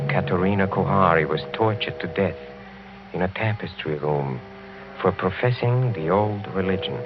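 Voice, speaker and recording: Imperious deep-voiced old count, muffled radio. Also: male voice, heavy background noise. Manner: grave, deliberate, formal. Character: imperious old aristocratic count, proud, darkly occult, menacing. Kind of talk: radio horror drama acting. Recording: muffled old radio broadcast, loud music.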